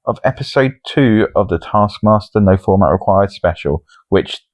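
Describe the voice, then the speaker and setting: A man with a fairly low voice talks as a podcast co-host. He keeps score and banters casually with his fellow host. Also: animated, moderate pace.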